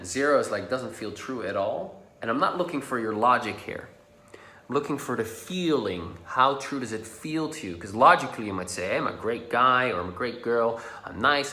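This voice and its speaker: A man whose first language is Dutch, talking casually and encouragingly as a coach leading a guided tapping lesson. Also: mid-range voice. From Netherlands. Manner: casual, encouraging, drops to soft and slow when guiding. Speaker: social anxiety coach. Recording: echoey room.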